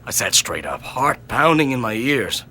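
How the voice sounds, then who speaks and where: A man reading a first-person horror story in an intense, theatrical manner that swings between hushed and loud. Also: mid-range voice, very animated, normal speed, a little hiss or hum. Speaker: narrator and reader of a horror story.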